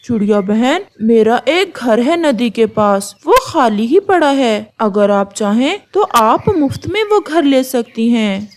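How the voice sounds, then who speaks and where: Woman doing a kindly, slow-spoken male woodpecker. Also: a little high, animated. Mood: generous. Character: kind childless male woodpecker handyman.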